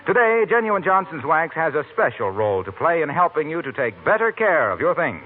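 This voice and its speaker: Animated 1940s radio pitchman. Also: fairly quick, soft background music. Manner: polished, salesmanlike. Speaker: radio announcer. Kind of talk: 1940s radio commercial, scripted. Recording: tinny 1940s radio recording, faint noise.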